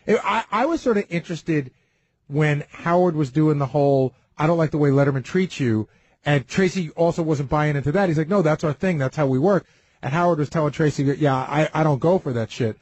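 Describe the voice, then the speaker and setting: A man with a husky, slightly high voice tells anecdotes on a casual talk panel. He moves quickly and speaks bluntly, swearing freely. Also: a little mellow. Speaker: radio show staffer on a talk panel.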